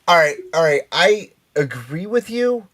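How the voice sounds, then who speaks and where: A man's voice: on the high side, very animated, a little slow, fairly bright tone. Rambling, emphatic man hosting a chat show. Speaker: radio show host. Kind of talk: casual pop-culture panel chat.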